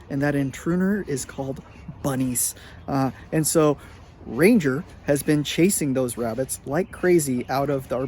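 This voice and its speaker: A middle-aged man telling a casual story to his students in a chatty, animated way, with lots of filler words. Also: fairly high pitch, a little hiss or hum. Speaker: high school sports medicine teacher.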